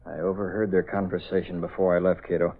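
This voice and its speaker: A man's voice: low voice, flat delivery, quick pace. An actor playing a confident masked vigilante confiding in his aide in a 1930s radio drama. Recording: muffled, hissy old-time radio recording.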